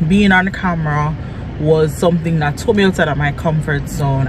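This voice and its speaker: Guy, bit high voice, reflective casual vlog chat, noisy car sounds behind. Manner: reflective, earnest, repeats phrases for emphasis. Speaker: small business vlogger and design trainer. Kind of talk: casual motivational vlog talk. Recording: clear vehicle and outdoor noise.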